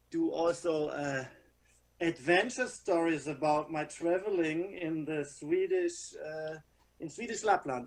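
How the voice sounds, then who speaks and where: A German-accented actor in his fifties introduces himself with easy good humor. His voice sits on the higher side for a man, heard over a video call. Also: low-quality recording. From Ruhr area, Germany. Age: 50s.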